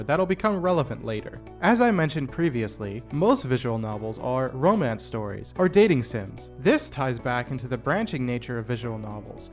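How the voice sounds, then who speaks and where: A man narrating a scripted video essay in a casual, jokey, sarcastic and self-deprecating way, with slangy phrasing. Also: medium pitch. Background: background music.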